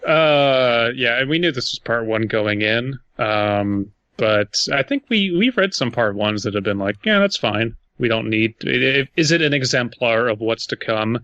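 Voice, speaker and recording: A man with a bright, forward voice chats as a podcast co-host, casually weighing up a story. The recording is dry and close, with a slightly muffled top end. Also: mid-range voice, lively intonation, a little slow, hardly any pauses, no room sound. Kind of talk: casual critical discussion.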